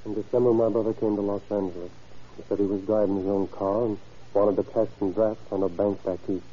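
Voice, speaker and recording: An actor playing a subdued male convict giving plain, measured testimony in a 1930s radio drama. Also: on the low side, flat delivery, mellow, husky. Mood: subdued, guarded. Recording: dull, muffled old radio recording.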